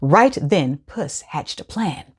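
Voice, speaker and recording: A woman hosting a children's read-aloud, speaking in a deliberate, clearly phrased storytelling style. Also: low-pitched, animated.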